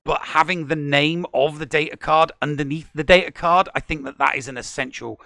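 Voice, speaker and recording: A man co-hosts a gaming podcast in a casual, animated way, tripping over his words when he gets excited. His voice is bright and gravelly and sits a little high. It is recorded close and dry. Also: fairly quick, dry recording. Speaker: podcast co-host.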